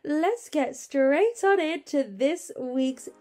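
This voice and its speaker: A woman's voice: sits high, animated, takes it slowly, dark tone. A young woman hosting her podcast from her bed, stuffed up with a cold and chatting in a rambling, casual way. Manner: chatty and rambling, with frequent fillers. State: congested with a cold. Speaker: podcast host. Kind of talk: casual solo podcast chat. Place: at home, in bed.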